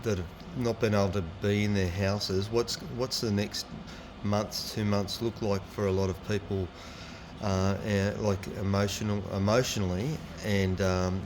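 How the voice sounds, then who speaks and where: A man's voice: on the low side, flat delivery, a little slow, background noise. A man with an Australian accent hosting at church, putting unscripted questions to a guest in a hesitant, searching way. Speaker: church host interviewing a guest pastor. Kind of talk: unscripted live interview questions.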